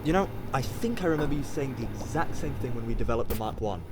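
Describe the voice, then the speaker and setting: An actor playing a cocky, impatient time-travelling scientist with a brash, sarcastic manner in an audio drama. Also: male voice, medium pitch, animated, quick pace, background noise, close to an everyday voice.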